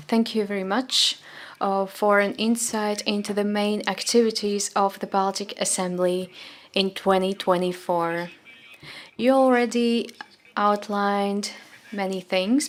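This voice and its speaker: A woman interpreting formal press conference remarks in a steady, measured way, with the odd filler. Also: mid-range voice.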